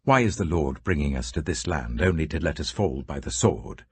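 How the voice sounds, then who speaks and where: British guy doing a deep-voiced grumbling israelite man, scared and emphatic, very animated scripture audiobook. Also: normal speed, husky, heavily acted. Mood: bitter complaint. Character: fearful, grumbling crowd of ancient Israelite men.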